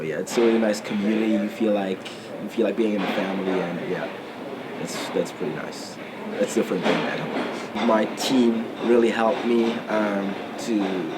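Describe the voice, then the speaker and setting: A young man from Germany answers interview questions in a deep voice, pausing often and restarting his sentences. A steady background noise sits under his voice. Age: 20s. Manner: hesitant, many fillers and restarts. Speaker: student athlete interviewee. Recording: noisy interview recording.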